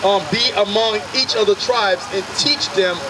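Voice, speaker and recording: A man's voice: high-pitched, normal speed, crowd noise, rough recording. A man from Haiti preaching outdoors by a street, asking the listener questions and calling out to passersby. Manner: asks the listener questions, calls out to people walking by. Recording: loud street traffic noise. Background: loud traffic and car engines.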